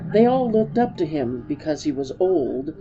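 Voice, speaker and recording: A woman's voice: sits low, very animated, normal speed, mellow, dull audio. A woman storyteller giving a dramatic reading of a story.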